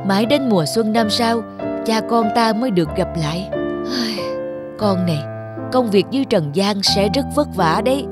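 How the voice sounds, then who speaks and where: A man's voice: sits high, lively intonation, normal speed, loud music behind the voice. A man playing an old rain god, a loving and worried father in a cartoon fairy tale. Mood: sad, worried. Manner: doting, sorrowful. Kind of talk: animated fairy tale acting.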